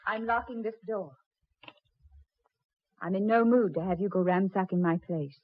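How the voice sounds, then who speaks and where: A woman's voice: medium pitch, moderate pace, close to an everyday voice. An American actress playing a firm, guarded married woman in a 1940s radio melodrama. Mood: tense refusal. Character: firm, guarded married woman rebuffing suitor, devoted to husband. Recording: muffled old 1940s radio recording.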